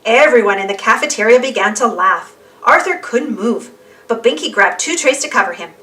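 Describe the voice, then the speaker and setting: A woman narrates a picture book for children. Her voice sits fairly high and sounds bright, with very lively intonation that keeps the story moving. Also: moderate pace, recorded from a distance, a little hiss or hum. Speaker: storybook reader. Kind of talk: animated children's read-aloud narration.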